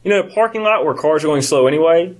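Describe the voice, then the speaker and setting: A man in his early thirties chatting casually as a podcast co-host, telling stories in an animated way. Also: a little high, rapid-fire, a little hiss or hum. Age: early 30s. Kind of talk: casual chat.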